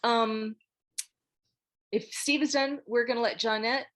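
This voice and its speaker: A woman's voice: on the high side, fairly even intonation, a little slow, a bit bright. A woman hosting a casual group discussion over a video call, politely directing the others. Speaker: host moderating a script table read.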